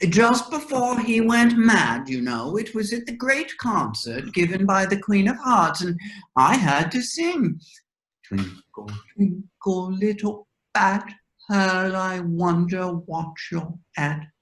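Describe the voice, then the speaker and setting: A woman's voice: medium pitch, big pitch swings, slow. A woman playing an eccentric, huffy, scatterbrained hatter in a readers' theater storybook piece, theatrical and emphatic. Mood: aggrieved. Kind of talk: readers' theater of children's classic.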